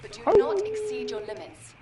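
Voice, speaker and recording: A woman's voice: extremely high pitch, very animated, moderate pace, over a noisy crowd. An actress playing a formal female military field commander giving orders over the radio in a game. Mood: commanding. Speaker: game character. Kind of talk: scripted game dialogue.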